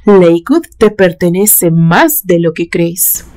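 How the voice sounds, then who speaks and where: A soft-spoken, soothing father , acted out by a woman narrator in a suspense storybook. He is gentle and measured, coaxing his grown son with patient care. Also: on the low side, expressive. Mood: gently insistent.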